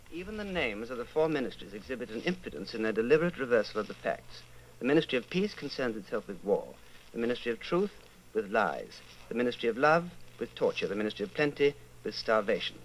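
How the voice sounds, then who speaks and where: A man playing an earnest, resolute rebel with a deliberate delivery in an old-time radio drama. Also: mid-range voice, very animated, a little hiss or hum, natural voice, poor audio. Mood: reading solemnly. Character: earnest, resolute male rebel against the Party.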